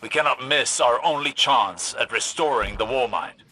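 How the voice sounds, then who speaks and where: An actor playing a stern, brooding older scholar-warrior in a video game, speaking in a formal, imperious, deliberate way. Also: male voice, mid-range voice, sharp tone, husky, faint music behind, rough recording. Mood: dry, urgent. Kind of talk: dramatic video game voice acting.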